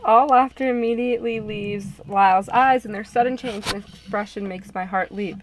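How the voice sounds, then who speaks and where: A woman's voice: medium pitch, fairly even intonation, normal speed, crowd noise, lightly acted, poor audio. A woman voicing the earnest first-person narrator of a visual novel, reading expressively.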